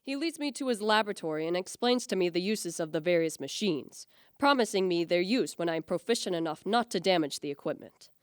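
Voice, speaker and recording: A woman's voice: on the low side, animated, fairly quick, smooth, no echo, clean studio sound, natural voice. A woman playing an obsessive, ambitious young male science student, narrating earnestly and formally in a radio play. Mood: reflective.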